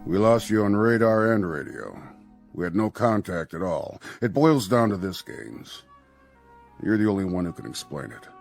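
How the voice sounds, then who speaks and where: An actor playing a stern Air Force general in a radio drama, speaking in a deliberate, formal, official manner. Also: male voice, lowish voice, sing-song, creaky, faint music behind. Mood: pressing, probing.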